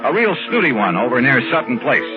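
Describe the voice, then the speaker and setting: A muffled vintage radio recording with music carries a man acting a hard-boiled American investigator. He is sardonic and slangy, with lively swings in pitch as he needles and narrates in old detective-serial style. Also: fairly quick, natural voice. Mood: dry narration. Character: wisecracking, skeptical, hard-boiled male insurance investigator.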